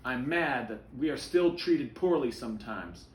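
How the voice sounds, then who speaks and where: A firm, serious picture-book father acted by a man reader explains things plainly. His pitch is average for a man, and the recording has a roomy echo. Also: animated, unhurried, a little hiss or hum, lightly acted. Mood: angry.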